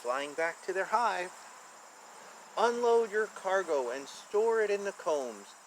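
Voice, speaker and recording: A man reading a children's picture book aloud and explaining it informally in simple terms, with an animated manner. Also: sits high, slow pace, slight background noise, poor audio.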